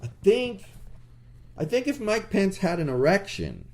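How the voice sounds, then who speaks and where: Animated, crude-talking man co-hosting a podcast. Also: sits high, measured pace. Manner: blunt, animated storytelling with crude jokes. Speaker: podcast co-host. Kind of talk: casual chat.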